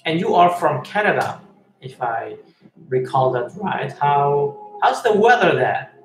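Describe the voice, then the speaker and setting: A man from Switzerland, hosting a live-stream chat and reading viewer messages aloud, speaking in a halting way with many fillers and restarts. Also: a little high, big pitch swings. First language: Swiss German and Italian. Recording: echoey room with faint noise.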